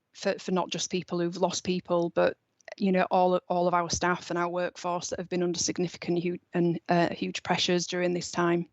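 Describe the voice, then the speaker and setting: Flat-voiced woman on a video call. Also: fairly low pitch, no room sound. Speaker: council board member presenting. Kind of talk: online meeting presentation. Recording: dull lo-fi video call audio.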